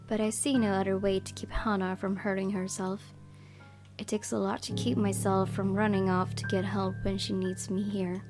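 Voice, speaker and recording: Woman reading game narration over music. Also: mid-range voice. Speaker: gaming video host. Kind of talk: reading visual novel narration aloud, with casual asides.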